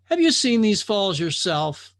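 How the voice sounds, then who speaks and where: A man's voice: high voice, sing-song, unhurried, fairly bright tone, pitched above the performer's own voice, full character voice. A man voicing young, skeptical drifters in a storybook parable, with a brash, questioning delivery. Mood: curious. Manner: questioning, incredulous.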